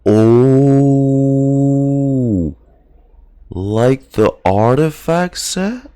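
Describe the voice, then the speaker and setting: A man doing casual reaction commentary as a streamer, muttering to himself and haltingly reading bits of game dialogue aloud. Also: medium pitch, expressive, mellow, clean tone. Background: faint game music.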